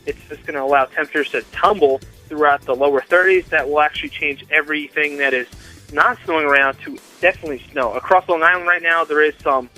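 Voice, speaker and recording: A man delivering radio weather forecasts as a meteorologist, with brisk, upbeat forecaster patter. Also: on the high side, steady tone, sharp tone, faint music behind, low-quality recording.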